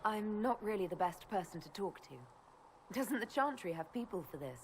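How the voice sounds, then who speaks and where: A woman voicing a frank, pragmatic elven heroine in a video game, calm and plain-spoken. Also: mid-range voice, normal speed, slight background noise, close to an everyday voice. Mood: gentle, awkward. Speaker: player's heroine.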